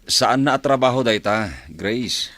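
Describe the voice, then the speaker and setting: A man playing an amiable but easily annoyed office colleague in a radio drama, with a husky voice. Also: medium pitch, unhurried, fairly bright tone, lightly acted. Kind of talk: Philippine radio drama acting.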